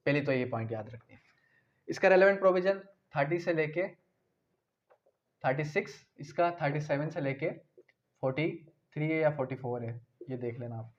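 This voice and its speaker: High-voiced man lecturing, dull audio. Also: normal speed. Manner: explanatory, checks in and repeats key points; switches between Urdu and English terms. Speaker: law teacher. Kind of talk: informal exam-prep lecture.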